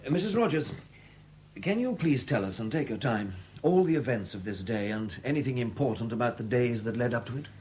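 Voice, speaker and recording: An actor playing a courteous, methodical police superintendent in a 1970s radio drama, questioning in a polite, measured, formal way. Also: male voice, mid-range voice, lively intonation, a little mellow, natural voice. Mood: courteous, gentle. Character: courteous, methodical male London detective superintendent. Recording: noisy old 1970s radio recording.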